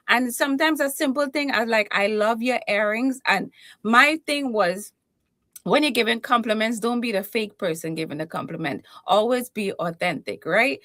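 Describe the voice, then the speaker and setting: A woman's voice: a little high, fairly bright tone, no room sound. Playful Trinidadian woman, close and dry. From Trinidad and Tobago. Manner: playful, self-assured, humorous. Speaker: guest, keynote speaker and confidence coach. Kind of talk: conversational interview.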